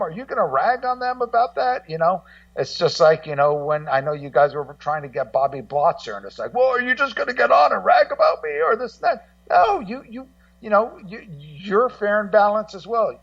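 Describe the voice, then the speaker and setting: A man in casual radio conversation, rambling and halting as he repeats words and acts out what other people say. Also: a little high, vocal fry, dry recording. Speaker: guest co-host and music documentary maker. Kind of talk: casual radio talk, telling anecdotes. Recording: muffled, slightly distant voice feed.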